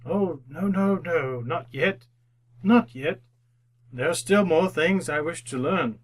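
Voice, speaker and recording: An actor playing a frightened, repentant old miser in old-time radio drama, halting and earnest, repeating his phrases. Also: fairly high pitch. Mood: pleading.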